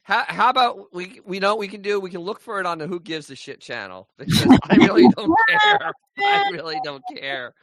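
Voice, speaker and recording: Sarcastic co-host with a lively voice. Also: male voice, high voice, a little fast, crowd noise. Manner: sarcastic, dismissive. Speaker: podcast co-host. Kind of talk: casual banter.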